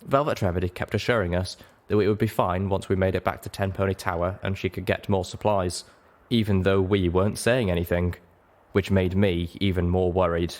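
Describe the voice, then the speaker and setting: Deep-voiced man narrating a story. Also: fairly even intonation, brisk. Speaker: audiobook narrator. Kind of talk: first-person dramatic scripted narration.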